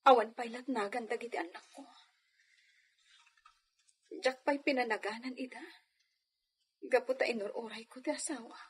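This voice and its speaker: A woman's voice: a little high, steady tone, moderate pace, pauses often, slightly rough. A woman playing an anguished, pleading young mother and neglected wife in a radio drama, with a Filipino accent. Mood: wounded, brooding. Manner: plaintive, pleading. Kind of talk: Ilocano radio drama acting.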